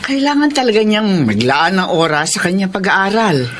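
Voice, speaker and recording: Actress as an older Filipino mother, patient, advising, lively intonation, radio drama, faint noise behind. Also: medium pitch, normal speed, lightly acted. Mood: soothing, advising.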